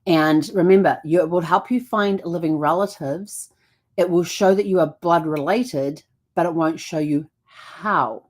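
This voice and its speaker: Woman host, lower voice, many ums. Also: unhurried, a little mellow. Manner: frequent ums and self-corrections, stumbling over words. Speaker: genealogy show host. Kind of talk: casual explainer episode.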